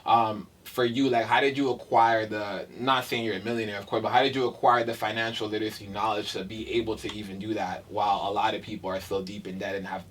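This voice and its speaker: A man's voice: fairly low pitch, little pitch movement, fast talker, hardly any pauses, a bit bright, far from the mic, a little hiss or hum, low-quality recording. Laid-back slangy male interviewer. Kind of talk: casual interview chat.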